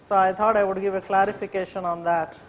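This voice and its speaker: A woman's voice: mid-range voice, flat delivery, fast, a little hiss or hum. A woman from India, whose first language is Urdu, gives a classroom lecture in Indian English, hesitant and full of fillers. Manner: hesitant, many fillers and restarts. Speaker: teacher.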